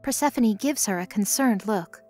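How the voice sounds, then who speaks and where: A woman's voice: medium pitch, lively intonation, normal speed, a little mellow, faint music behind. A woman reading scripted third-person narration for a fantasy audio drama.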